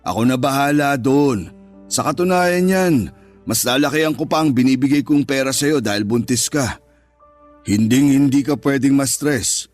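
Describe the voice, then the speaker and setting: Man playing jealous rich boyfriend, Filipino accent, firm controlling manner, mid-pitched voice, radio drama, soft music bed. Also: normal speed, lightly acted. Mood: reassuring. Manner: possessive, firm, reassuring turning threatening. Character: rich, jealous, controlling businessman lover, providing yet menacing. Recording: music bed under the dialogue.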